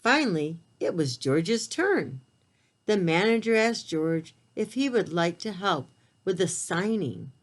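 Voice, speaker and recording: A woman reading a picture book aloud to young children, expressive and talking to them in simple, child-directed language. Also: lowish voice, a little slow. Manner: expressive storytelling delivery. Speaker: preschool read-aloud storyteller.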